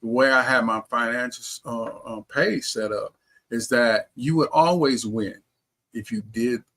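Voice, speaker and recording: A man's voice: mid-range voice, unhurried, sharp tone. A man speaking casually as an interview guest, telling personal stories. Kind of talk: casual conversational storytelling.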